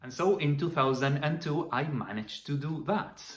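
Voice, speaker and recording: A man in his forties from Argentina, with an Argentine accent and Spanish as his first language, telling his own story in a relaxed, chatty vlog. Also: fairly high pitch, moderate pace, slightly rough. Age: 40s. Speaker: vocal percussion teacher.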